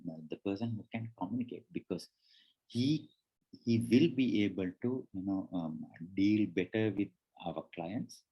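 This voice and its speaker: A man's voice: lowish voice, a little mellow, husky, rough recording. A man who learned English as a third language, presenting on job interviews in a conversational way with frequent restarts. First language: not English. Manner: conversational, restarts often, acts out quoted dialogue.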